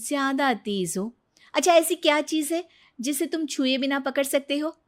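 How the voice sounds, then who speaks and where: A woman playing a high-pitched, smug young daughter-in-law. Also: moderate pace, close to an everyday voice. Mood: challenging. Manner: teasing, self-assured riddle posing. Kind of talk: animated Hindi moral story voice acting.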